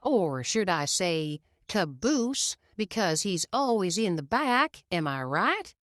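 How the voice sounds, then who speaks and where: A loudmouthed male race car who hosts interviews and jeers at rivals, as a woman puts him on. His pitch jumps up and swings widely. The sound is close and dry. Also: sing-song, slow pace, no echo, heavily acted. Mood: jeering. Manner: loud, jeering and mocking. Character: brash male race car, mocking show host.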